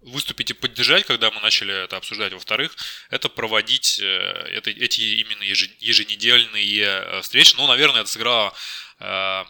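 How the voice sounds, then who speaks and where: A man's voice: on the low side, brisk, gravelly, bright, forceful tone, no echo. A man answering technical interview questions as a job candidate, hesitant and often correcting himself. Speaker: job candidate, network engineer.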